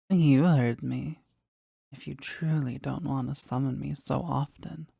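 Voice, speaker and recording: A woman playing a powerful, jealous demon devoted to their master in an intimate audio roleplay, speaking deliberately and intensely in a husky, breathy voice. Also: dark tone, no echo, clean studio sound, exaggerated voice. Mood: insistent. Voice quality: husky, breathy, low creaky voice. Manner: slow, deliberate, intense, dramatic.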